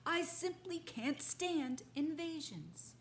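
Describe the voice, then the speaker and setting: A woman's voice: high voice, very animated, slow, a little hiss or hum, pitched above the performer's own voice, exaggerated voice. A woman doing a nosy wild boar. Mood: fussy. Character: suspicious gossiping male wild boars. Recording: echoey room with faint ambience.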